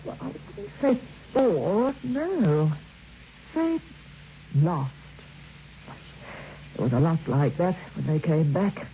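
A woman's voice: lowish voice, lively intonation, takes it slowly, long pauses, warm tone. An actress playing a gossipy, plain-spoken, working-class woman in an old radio drama, hesitant and matter-of-fact. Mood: pensive. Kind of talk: old-time radio acting. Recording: dull, noisy old radio recording.

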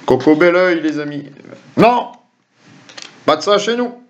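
A man's voice: on the high side, animated, measured pace. A middle-aged man, hosting a quiz to camera with jokey, chatty asides to viewers. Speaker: quiz host. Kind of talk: reading quiz questions to camera. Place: small room at home. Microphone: webcam or computer mic at desk. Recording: dull lo-fi webcam sound.

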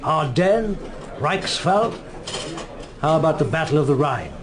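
An actor with a British accent playing a jovial middle-aged ex-army officer in a radio drama, bantering in florid phrases. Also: male voice, fairly high pitch, very animated, unhurried, noisy background. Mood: jovial, teasing. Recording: busy bar crowd behind the voice.

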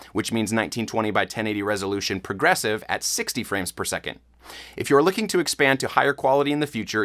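Fast-talking man explaining camera gear. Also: medium pitch, fairly bright tone. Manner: clear, methodical explaining. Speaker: church tech instructor. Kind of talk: scripted tutorial to camera. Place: studio in front of a blue backdrop.

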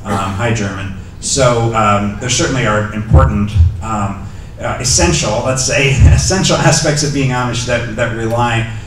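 A man's voice: mid-range voice, moderate pace, slight background noise. A middle-aged man speaking at a podium into a handheld mic, answering audience questions hesitantly, with many ums and restarts. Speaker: guest lecturer. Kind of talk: lecture Q&A answers. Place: lecture hall with a podium. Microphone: handheld microphone. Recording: echoey hall audio from far off.

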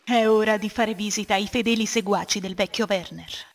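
A woman's voice: steady tone, normal speed, lightly acted. A woman playing a cool, determined adventurous archaeologist in a fan-dubbed game cutscene, with a dry, defiant manner. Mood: weary, determined. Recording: low-quality amateur dub, faint noise.

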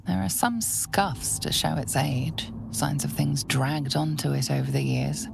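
A woman playing a sombre, measured narrator of a dark fantasy game, hushed and creaky, reading scripted narration. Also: sits low, music in the background, exaggerated voice.

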